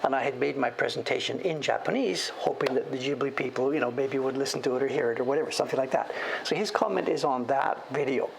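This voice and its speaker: A man's voice: medium pitch, fast, creaky, noisy background. A man chatting casually on a livestream while he carves, rambling and self-deprecating, chuckling as he tells a story. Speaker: streamer and woodblock print carver.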